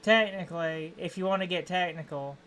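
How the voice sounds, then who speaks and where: A man from Appalachia with an Appalachian accent, chatting casually and haltingly while streaming a game. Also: sits high, fairly bright tone, slight background noise. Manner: rambling, hesitant, chuckling.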